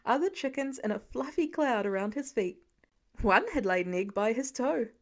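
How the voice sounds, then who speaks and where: A woman's voice: fairly high pitch, lively intonation, normal speed. A woman narrator reading a scripted piece of fiction as an audiobook.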